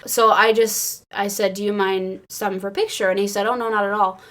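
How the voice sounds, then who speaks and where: An American woman casually telling excited personal stories in an animated, giggly way, peppering her speech with "like". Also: medium pitch, brisk. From Illinois, United States. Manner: animated, giggly, says "like" often. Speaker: podcast crew member telling fan stories.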